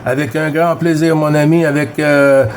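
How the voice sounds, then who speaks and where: A man with a Québécois accent speaks in a fairly high voice. He chats as a CB operator, politely and haltingly, often repeating himself as he goes. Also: level tone. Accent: Québécois French. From Quebec, Canada. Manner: hesitant, courteous, repeats himself. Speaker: amateur CB radio operator. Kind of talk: casual radio contact chat.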